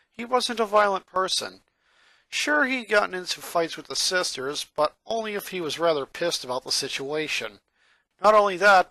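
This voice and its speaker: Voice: mid-range voice, bright, forceful tone, no echo, low-quality recording. A narrator reading a fan-fiction story aloud in a scripted storytelling style.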